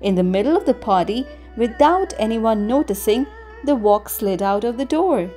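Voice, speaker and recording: A woman narrating a scripted children's story with expressive, deliberate phrasing. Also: fairly high pitch. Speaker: storybook reader. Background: music.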